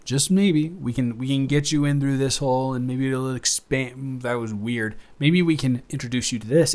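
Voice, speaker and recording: A man's voice: medium pitch, lightly acted. A man playing a shy, affectionate roommate in an improvised intimate audio roleplay, speaking in a hesitant, rambling way with stammers. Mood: playful, coaxing. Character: shy, affectionate male roommate confessing to best friend.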